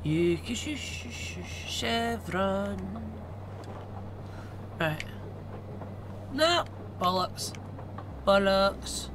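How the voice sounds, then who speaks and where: A woman streaming a game, reading commands aloud under her breath and cursing at the screen. She talks slowly and drifts between mutters and outbursts. A steady hiss of noise sits behind her. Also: medium pitch. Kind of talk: live gameplay commentary.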